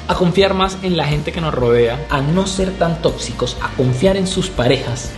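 A young man from Panama talking animatedly to the camera in a small room at home, gesturing with his hands as he reflects. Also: on the high side. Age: young adult. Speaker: urban cycling vlogger. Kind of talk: casual vlog to camera. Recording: music playing behind the voice.

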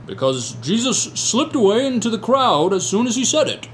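A man's voice: sits high, very animated, moderate pace, slight background noise, low-quality recording. A man playing an earnest rabbi testifying as a witness in a courtroom radio play. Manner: earnest, explaining.

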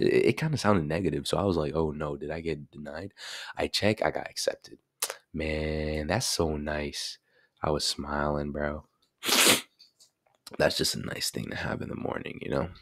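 Chill 18 yr old guy talking into a boom mic in his bedroom, super low voice, dead dry sound, says bro a lot. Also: no room sound. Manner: laid-back slangy talk, many fillers like bro and you know. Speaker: vlogger. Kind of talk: casual birthday vlog chat. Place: small bedroom indoors. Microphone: desk mic on boom arm.

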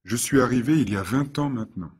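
Brief: man, mid-pitched, husky, scripted lesson dialogue reads. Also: fast. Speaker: dialogue reader for French learners.

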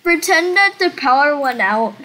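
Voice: sits high, animated, normal speed, sharp tone. A child hosting a casual Roblox roleplay stream, playfully directing the make-believe. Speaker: host of a Roblox roleplay livestream. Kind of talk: casual game roleplay chat. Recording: noisy home recording, clicks.

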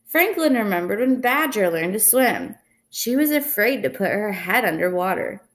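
A young woman reading a children's picture book aloud in an expressive, deliberate way, recorded indoors at home. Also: mid-range voice. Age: young adult. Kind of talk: storybook read-aloud. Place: indoors in a small room at home.

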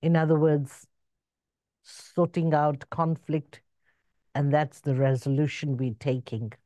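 A woman in her sixties, born in Zambia and raised in Zimbabwe, giving a slow reflective spiritual talk over a video call. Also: fairly even intonation, mellow, no room sound. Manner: slow, measured, rising and falling in pitch. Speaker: spiritual speaker. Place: indoor room with curtains. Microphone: video call webcam.

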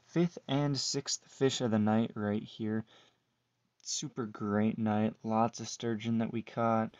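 A man's voice: on the low side, little pitch movement, slow, clean tone, no echo. A man narrating a fishing video in a casual voice-over as the channel's host. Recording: dull lo-fi voice-over with faint noise.

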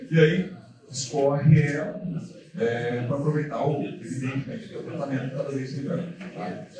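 A man's voice: on the high side, darkish tone. Man lecturing in an echoey hall. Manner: casual, repetitive, many fillers. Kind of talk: seminar talk with slides. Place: large dark lecture hall. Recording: echoey hall from far off, audience.

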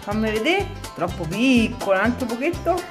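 A woman's voice: a little high, very animated, normal speed, music in the background. Encouraging mom cooking with her kid. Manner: coaxing, encouraging, praising each step. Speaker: mother cooking with her young daughter. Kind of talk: casual home cooking video. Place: home kitchen.

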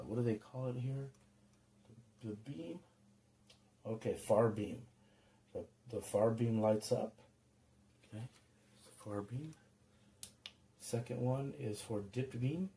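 A man's voice: lowish voice, gravelly, dark tone, a little hiss or hum. A man casually showing off a radio at home, thinking aloud with small hesitations. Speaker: product reviewer. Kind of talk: casual product demo.

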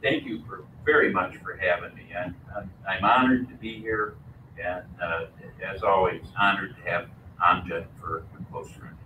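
An older man with a booming voice, giving a prepared lecture at a podium microphone with measured, lightly humorous delivery. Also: fairly even intonation, sharp tone, slight background noise. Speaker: guest lecturer. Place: mosque lecture room. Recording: echoey room, from far off.

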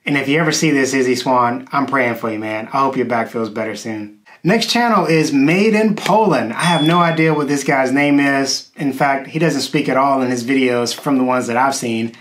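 A man's voice: medium pitch, normal speed, a bit bright, slight background noise. A man in his thirties hosting a video, explaining things casually to his viewers while seated in a small room. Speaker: YouTube host. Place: small indoor room, seated at a wooden table.

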